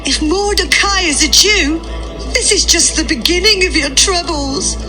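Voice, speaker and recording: An actress playing a wailing wife who warns of doom in a dramatised audio Bible. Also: female voice, very high voice, music in the background. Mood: alarmed foreboding. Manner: wailing, drawn-out. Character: shrill doom-saying wife warning her husband.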